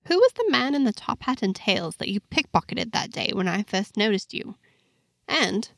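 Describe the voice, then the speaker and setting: A woman's voice: mid-range voice, sing-song, normal speed, creaky, a bit bright, dry recording. A woman voicing a guarded, easily annoyed young heroine who prods for answers in an audio story. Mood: curious. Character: guarded, indignant woman, daughter of a powerful father.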